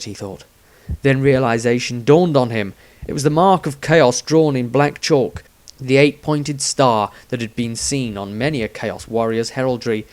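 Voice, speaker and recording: A male narrator with a medium-pitched voice reads a fantasy tale. His delivery is scripted and dramatic, in the manner of an author reading his own audiobook. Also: a little mellow.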